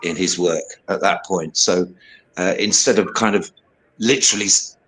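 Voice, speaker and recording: Older UK male guest, low baritone, rambling, filler-heavy, casual interview. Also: moderate pace, a bit bright, rough recording. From United Kingdom. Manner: rambling, hesitant, many fillers and "you know". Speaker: guest singer-songwriter.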